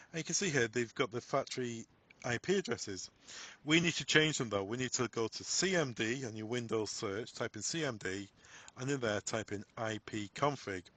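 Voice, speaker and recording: A man presents a software tutorial as a voice-over. His voice sits at a middle pitch for a man. He phrases things as practical instructions, taking the listener through one step at a time. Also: no echo. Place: voice-over on a screen recording.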